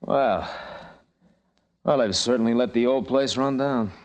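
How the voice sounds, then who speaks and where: An actor playing a brash, wisecracking adventurer in a vintage radio drama, with slangy, tough-talking delivery and some first-person narration. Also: male voice, mid-range voice, measured pace, darkish tone, close to an everyday voice. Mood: wry. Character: brash, wisecracking male adventurer, cajoling and determined. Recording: vintage radio drama recording with music behind.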